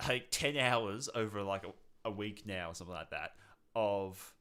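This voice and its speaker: A man's voice: fairly low pitch, normal speed, pushed and bright, clean tone. A man co-hosting a podcast, chatting casually about video games in a rambling way that often doubles back on itself. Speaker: podcast co-host.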